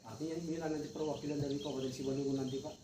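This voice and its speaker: A man's voice: fairly high pitch, level tone, rapid-fire. A male teacher with a North Sumatran Indonesian accent talks informally in a coaching chat, hesitating and repeating phrases. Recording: distant echoey noisy recording, insect chirps.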